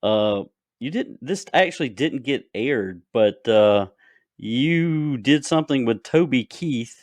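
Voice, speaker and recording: Man, podcast host, casual interview, average pitch, dry mic. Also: animated, slow pace, dry recording. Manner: brief reactions, rambling questions.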